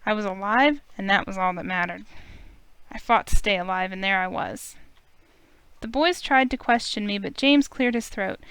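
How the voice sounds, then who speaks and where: A woman reading a visual novel aloud in steady first-person narration. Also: mid-range voice, moderate pace, smooth, no echo. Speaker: let's play reader.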